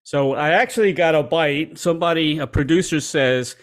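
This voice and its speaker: Man, middle-aged, from Washington D.C., interview host, affable, quick to chime in, studio mic. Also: on the high side. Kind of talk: casual video-call interview. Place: home studio with posters. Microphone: studio mic with headphones.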